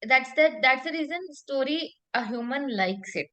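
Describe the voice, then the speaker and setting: A woman's voice: high-pitched, lively intonation, normal speed, pushed and bright. A woman works as a public speaking coach. She explains in an emphatic, conversational way, repeating phrases for weight and checking in with short tag questions.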